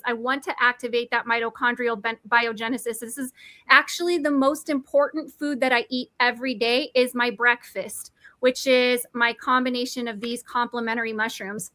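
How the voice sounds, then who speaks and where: A woman's voice: fairly high pitch, bright, forceful tone, no echo. A woman in her forties enthusiastically explaining mushroom supplements, eager and nerdy, often restarting words. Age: 40s. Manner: eager, nerdy, self-correcting. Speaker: product presenter.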